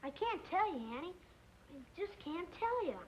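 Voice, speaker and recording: A performer voicing an earnest, excitable young boy in an old television western. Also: very high voice, moderate pace, rough recording. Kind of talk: 1950s television western acting. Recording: faint noise on old soundtrack.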